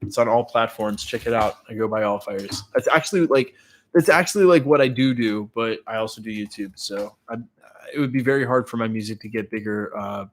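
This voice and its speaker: A man's voice: lowish voice, brisk, a little hiss or hum. A man in his thirties with a stuffy, congested voice from a cold, hosting a casual live stream and answering viewer comments. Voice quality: nasal, stuffy. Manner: loose and chatty, reads viewer comments aloud and answers them. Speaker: YouTuber hosting a live watch party.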